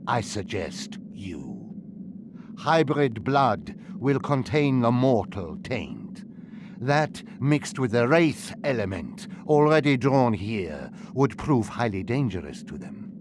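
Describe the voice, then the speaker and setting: An actor playing a haughty, coldly menacing schemer in a video game cutscene, with pompous, formal diction. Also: male voice, mid-range voice, very animated, takes it slowly, background noise. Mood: disdainful, grave.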